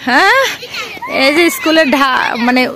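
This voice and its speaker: A woman's voice: high-pitched, sing-song, a little slow, crowd noise. A woman with a Bangladeshi accent giving casual vlog commentary outdoors and chatting to children as she goes. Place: outdoors on open grassy ground by a school. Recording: outdoor ambient noise, faint.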